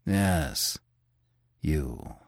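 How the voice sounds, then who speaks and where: A man reading a composed gentleman leader, speaking low and quietly. Every word is slow and deliberate, kind on the surface and steel beneath. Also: big pitch swings, no echo, studio quality, heavily acted. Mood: calm. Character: calm, kindly, ruthless gentleman leader, man.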